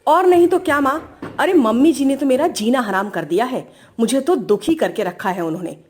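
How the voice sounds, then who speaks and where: A woman's voice: high voice, animated, moderate pace, darkish tone, slightly rough. A woman playing a defiant young daughter-in-law who complains and talks back in a family story. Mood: complaining. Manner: whiny, back-talking.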